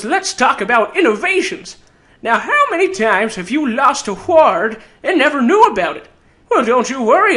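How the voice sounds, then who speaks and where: A tiny old fantasy game adventurer with a very high, odd, piercing voice. He swoops around in pitch, sarcastic and cocky, teasing the listener with folksy grammar as he pitches himself as an announcer. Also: bright, forceful tone, heavily acted. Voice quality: weird, high-pitched character voice. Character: tiny old sarcastic male keen-folk treasure hunter.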